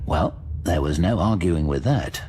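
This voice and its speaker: Male narrator, fantasy audio drama, deep voice, expressive swings, music underneath. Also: measured pace, a little hiss or hum.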